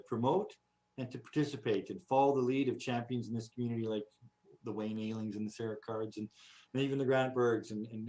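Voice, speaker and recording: A man's voice: medium pitch, darkish tone. A man, giving an earnest candidate speech and repeating himself at times. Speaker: council candidate.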